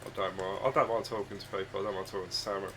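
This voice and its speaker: A man with a husky, croaky voice, hoarse from a chest cold, chatting casually and rambling hesitantly while streaming a game from home on a desk microphone. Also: deep voice, level tone, very fast, fairly bright tone, slight background noise. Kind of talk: casual live-stream chat. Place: home room with his dog.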